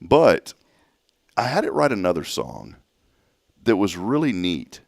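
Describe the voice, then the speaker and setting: A man hosting a morning radio show in casual chat, sounding groggy and rough, rambling and restarting his sentences. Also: low-pitched, huge pitch swings, a little slow, dry recording, clean studio sound. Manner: rambling, self-interrupting, chuckling.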